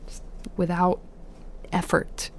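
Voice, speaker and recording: A woman's voice: takes it slowly, a little mellow, slight background noise, close to an everyday voice. A young woman acting in an audio drama as a guarded, awkward academic, speaking in a hesitant, reserved way that trails off. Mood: hesitant, nostalgic. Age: young adult.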